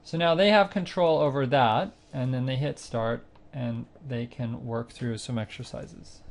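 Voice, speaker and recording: A man narrating a screencast tutorial, explaining a music training website step by step in a plain, unhurried way with occasional fillers. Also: mid-range voice, vocal fry, a little hiss or hum. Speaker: site instructor demonstrating software.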